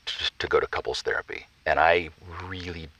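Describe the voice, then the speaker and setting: Reluctant married caller: very low, punchy voice, earnest and baffled, halting delivery for an audio drama phone-in. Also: male voice, sharp tone, no room sound, full character voice. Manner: halting, emphatic stammering.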